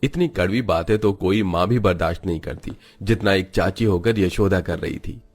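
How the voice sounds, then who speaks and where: A man's voice: deep voice, moderate pace, a little mellow. A man narrating a scripted moral story as a storyteller.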